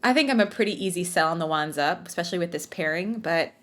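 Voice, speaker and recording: A woman's voice: lowish voice, animated, fast talker, a bit bright. A woman co-hosting a podcast in casual, comedic chat with a playful, bawdy way of joking. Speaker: podcast co-host.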